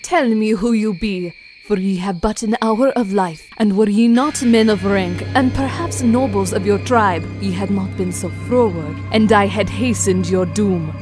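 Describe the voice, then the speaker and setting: A woman's voice: mid-range voice, measured pace, lightly acted. A woman playing a commanding, wrathful lady who speaks in formal archaic style in an audio drama. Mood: imperious. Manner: imperious, formal archaic speech. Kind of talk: audio drama acting of an old tale. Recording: music behind the voice.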